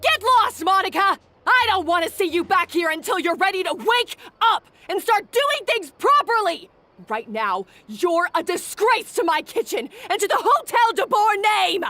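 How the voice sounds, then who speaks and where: A woman's voice: extremely high pitch, sing-song, moderate pace, sharp tone, no echo, heavily acted. An actress playing a harsh, bossy head chef who berates her kitchen staff, in a game cutscene. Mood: scolding. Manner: scolding, contemptuous. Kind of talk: game voice acting.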